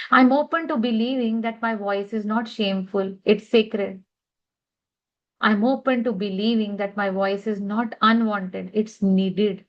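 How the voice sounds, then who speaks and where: A woman leading a guided tapping session, speaking affirmations in a slow, measured way. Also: mid-range voice, muffled recording.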